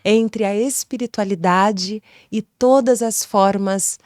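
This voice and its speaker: Singer guest, female, carioca accent, slow reflective delivery, dry close mic. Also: medium pitch, no room sound. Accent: Rio de Janeiro Brazilian Portuguese. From Rio de Janeiro, Brazil. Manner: slow, soft, reflective, poetic phrasing. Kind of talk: leading a prayer, then interview answers.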